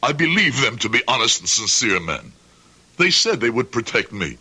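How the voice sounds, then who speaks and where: Husky, emphatic old shoemaker. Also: male voice, mid-range voice, sing-song, normal speed, bright, forceful tone, exaggerated voice. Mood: indignant, pleading. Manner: earnest, emphatic, formal simple phrasing. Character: proud, stubborn older immigrant shoemaker and father. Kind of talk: old-time radio drama acting.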